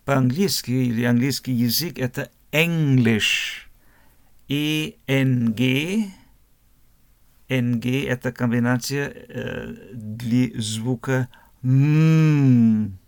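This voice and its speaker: A man from Germany, teaching a language lesson slowly, spelling words out letter by letter and repeating phrases. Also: mid-range voice, creaky.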